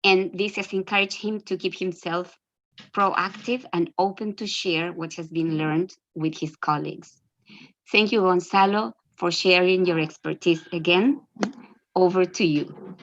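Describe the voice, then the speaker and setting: Female host, slightly deep register, formal read introduction for an online seminar, lo-fi sound. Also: steady tone, a little slow. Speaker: webinar host introducing the speaker. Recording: dull, lo-fi online webinar audio.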